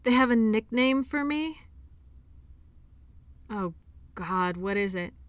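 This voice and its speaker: A woman acting an earnest, defensive and lonely sports doctor in an intimate audio drama, speaking in an emotional, halting way. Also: fairly high pitch, expressive, smooth, dry recording. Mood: sheepish. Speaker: audio roleplay performer.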